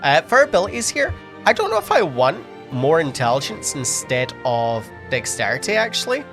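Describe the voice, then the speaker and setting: A man's voice: on the high side, wildly swooping pitch, moderate pace, over music. A man commentating a video game at a casual pace, sniffly, thinking aloud with frequent ums and restarts. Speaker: gameplay commentator. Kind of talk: casual let's-play commentary.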